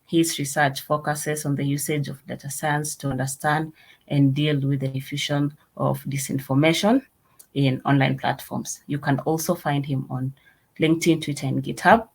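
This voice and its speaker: A meetup host introduces the speakers of an online talk. The delivery is halting, with fillers and broken-off words, at a middle pitch. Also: little pitch movement, gravelly, husky.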